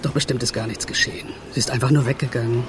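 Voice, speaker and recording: A woman's voice: rumbling low, fairly even intonation, fast, music in the background. An actress playing a wistful, loyal middle-aged woman in a German radio crime drama, talking confidingly and a little hesitantly. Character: wistful, loyal woman missing her old friend.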